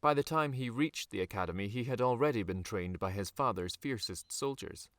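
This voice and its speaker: A man reading a dark fantasy audiobook in a measured, dramatic storytelling manner with deliberate pauses. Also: medium pitch, expressive, no room sound, studio quality. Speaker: author reading his own novel. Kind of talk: scripted audiobook narration.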